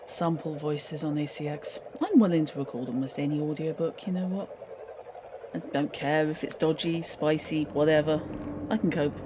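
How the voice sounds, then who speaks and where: A woman chatting on her gaming stream, giggly and animated. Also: sits low, background noise. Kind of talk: casual gaming stream chat.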